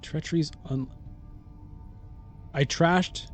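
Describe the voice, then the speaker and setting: A man giving casual game commentary as a let's-play host, thinking aloud in a halting, deliberating way and often questioning himself. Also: a little high, faint music behind. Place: solo recording of a digital board game playthrough.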